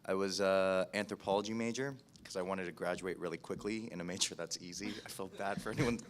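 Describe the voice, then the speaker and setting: A man with a low voice and an American accent speaks casually as a podcast producer on a panel. He is self-deprecating and chatty, often acting out his own past thoughts as he goes. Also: fairly even intonation, fast talker, slight background noise. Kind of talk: casual spoken career story at a panel.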